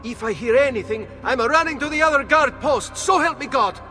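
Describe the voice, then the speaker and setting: A jumpy, cowardly guard in a video game. The voice is extremely high and piercing, whining, over faint music. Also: male voice, fairly quick, pushed and bright. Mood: panicked. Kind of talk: video game character acting.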